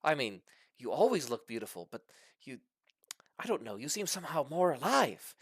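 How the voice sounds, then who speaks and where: A man as a gushing, high-voiced lovesick dragon. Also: big pitch swings, moderate pace, breathy edge, no room sound, clean studio sound. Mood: adoring. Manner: gushing, earnest. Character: lovesick, naive, devoted young male dragon.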